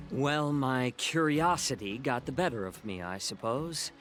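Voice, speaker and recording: A man's voice: mid-range voice, lively intonation, slow pace, fairly bright tone. An actor playing a courteous, sly fox-man healer in a video game, polite, theatrical and teasing. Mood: musing. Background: game music.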